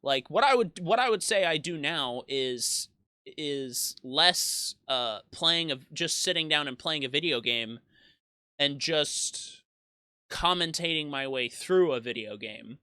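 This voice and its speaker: Young man, twenties, bright clear voice, dry close sound, rambling casual monologue, self-deprecating. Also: fairly high pitch, expressive, slow, no room sound. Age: 20s. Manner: rambling with frequent restarts. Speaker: YouTube gaming creator.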